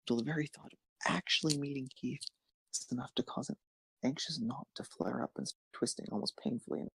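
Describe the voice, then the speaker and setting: A man's voice: mid-range voice, gravelly, husky, dry recording, low-quality recording. A man reading scripted fan fiction narration as the narrator of an amateur story.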